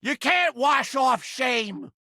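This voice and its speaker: A crusty little mouse trap from a video game, brash and streetwise. The voice is piercing and strident, leaping up and down in pitch, recorded very dry. Under the surly bluster sits a soft heart. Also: male voice, big pitch swings, slow, dry recording, exaggerated voice. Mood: gruff, defensive. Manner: brash, yelling, streetwise slangy wisecracking. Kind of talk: video game character acting.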